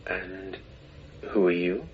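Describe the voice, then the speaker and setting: A man in a radio drama playing a patient, gentle hypnotherapist who guides and questions in a measured, soothing way. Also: sits low, steady tone. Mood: probing, gentle. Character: patient, gentle male hypnotherapist guiding a regression. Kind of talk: radio drama acting as taped therapy session. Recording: noisy, slightly dull session tape.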